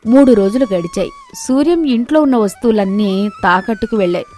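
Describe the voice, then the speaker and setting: A woman's voice: fairly high pitch, brisk, a little mellow, faint music behind. A woman reading scripted narration for a moral story as its narrator.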